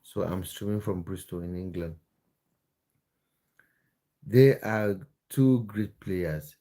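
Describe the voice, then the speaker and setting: A man's voice: deep voice, slow pace, warm tone. A man from Cameroon hosting a casual football livestream, chatting with viewers and often restarting his words. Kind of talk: casual live chat with viewers.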